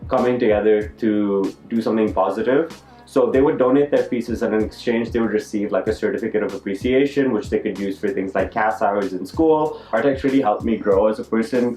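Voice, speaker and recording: Young male vlogger, low voice, casual personal storytelling, roomy sound with music underneath. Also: a little mellow. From Dubai. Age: young adult. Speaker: YouTube art vlogger. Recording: roomy echo, music behind.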